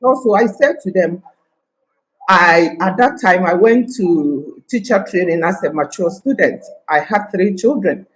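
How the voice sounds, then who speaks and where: An older woman from Ghana with a Ghanaian Ashanti accent touched by Scottish, telling of her years as a teacher in a confident, deliberate way. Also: fairly low pitch, animated, rough recording. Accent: Ghanaian, Ashanti (Akan) with some Scottish. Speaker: guest, retired teacher. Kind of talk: interview conversation.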